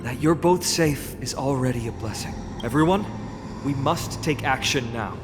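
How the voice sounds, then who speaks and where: An actor playing a noble, grave young warrior leader in a video game, speaking formally and with command. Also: male voice, mid-range voice, moderate pace, natural voice. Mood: resolute. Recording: long reverb, music behind.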